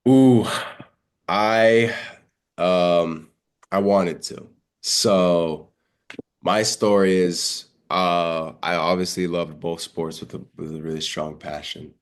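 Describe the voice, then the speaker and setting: A man's voice: sits low, fairly even intonation, slow pace. A congested young man chatting casually as an interview guest, telling stories in informal slang and acting out remembered dialogue. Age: young adult. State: congested, under the weather. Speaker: guest, college high jumper.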